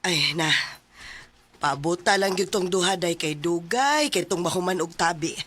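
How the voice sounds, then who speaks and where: A woman's voice: fairly low pitch, animated, measured pace, a bit bright. An actress playing a fond middle-aged mother in a radio drama, with a Cebuano accent. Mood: welcoming. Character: Roy's mother, fond middle-aged housewife welcoming guest. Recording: noisy recording with clicks.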